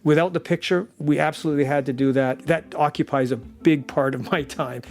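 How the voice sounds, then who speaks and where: A man speaks in an interview as a sound designer, in a slightly high voice. He explains his work earnestly and leans on key words for emphasis. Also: fairly even intonation, brisk. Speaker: audio drama sound designer.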